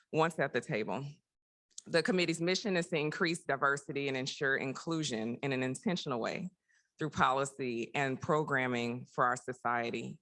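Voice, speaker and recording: A woman's voice: extremely low pitch, a bit bright. A young woman, a medical fellow, giving a prepared conference presentation as a committee report.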